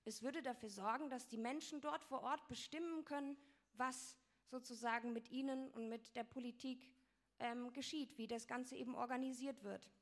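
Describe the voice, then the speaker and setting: A woman's voice: fairly high pitch, steady tone, normal speed. A young woman giving an explanatory talk with slides, fluent but with frequent fillers and restarts. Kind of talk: presentation with slides.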